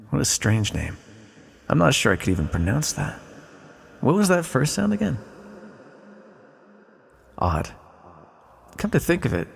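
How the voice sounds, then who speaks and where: A man playing an ancient exiled villain, a weary, teasing magical being, musing slowly and wryly in an ASMR roleplay. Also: on the low side, gravelly, breathy edge, exaggerated voice. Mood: bemused, wry. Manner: slow, musing, wry, theatrical. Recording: long cavernous reverb.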